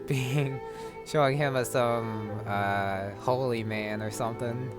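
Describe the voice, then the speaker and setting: Casual male gamer over game music. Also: mid-range voice. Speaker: gamer commentating on a playthrough. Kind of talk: casual, rambling game commentary.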